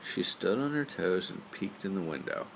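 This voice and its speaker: A man reads a bedtime story as narrator. His voice is low with plenty of fry, and there is faint noise behind it. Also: animated, normal speed, low-quality recording. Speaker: storyteller, father reading aloud.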